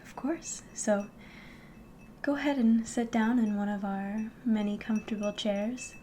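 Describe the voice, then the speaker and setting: Woman playing a soft, slow-talking fantasy innkeeper. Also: medium pitch, steady tone, a little hiss or hum, natural voice. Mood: hospitable. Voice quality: soft, breathy, often whispering. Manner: gentle, unhurried, polite, hospitable. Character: friendly, curious female innkeeper of a fantasy tavern. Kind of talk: ASMR roleplay, softly spoken to the listener.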